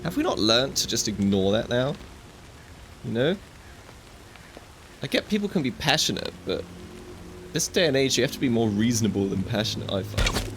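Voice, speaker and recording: A man's voice: mid-range voice, lively intonation, moderate pace, long pauses, fairly bright tone, faint music behind. A young man casually commenting while playing a VR game, chatty and joking, with wry asides to the viewer. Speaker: gaming video host. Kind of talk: casual let's-play commentary.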